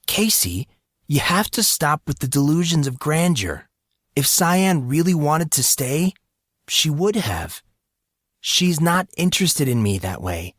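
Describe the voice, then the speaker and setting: A man's voice: a little high, sing-song, unhurried, a bit bright, slightly rough, dry recording, studio quality, pitched above the performer's own voice, heavily acted. A man voicing a defensive, exasperated fourteen-year-old boy with an earnest, evasive manner in an audiobook. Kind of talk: audiobook character voice.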